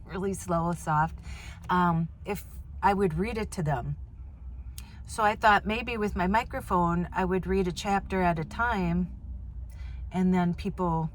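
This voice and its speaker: A woman's voice: fairly low pitch, a little hiss or hum. Chatty woman in her fifties. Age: 50s. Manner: rambling, chatty, frequent fillers. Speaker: vlogger. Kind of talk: casual chat to camera. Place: inside a van.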